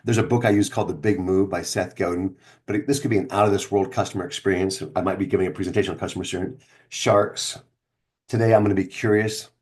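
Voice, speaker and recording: A middle-aged man with a fairly low voice talks in a casual, first-person storytelling style. He sounds like a practiced speaker presenting something of his own, chatting conversationally and putting weight on the words that matter. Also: fairly even intonation, fast talker, darkish tone.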